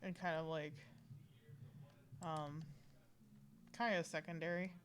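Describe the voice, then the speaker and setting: A woman's voice: sits low, steady tone, slow pace, fairly bright tone. A woman giving casual live gameplay commentary as a streamer, in a rambling, joking, slangy way. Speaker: game streamer. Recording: game music behind voice.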